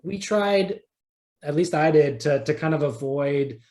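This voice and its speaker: The sound is noticeably roomy, around a man with a fairly high speaking voice. He talks casually as an interview guest, rephrasing himself and working out his thoughts as he speaks. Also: a little slow, dark tone. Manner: conversational, thinking aloud, rephrasing as he goes. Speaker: interviewed writer.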